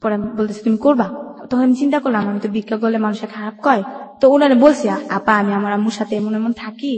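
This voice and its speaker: A woman's voice: on the high side, quick pace, darkish tone. A Bangladeshi woman telling a personal story in an interview, colloquial, often voicing remembered dialogue. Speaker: guest telling their life story. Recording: echoey room with long reverb.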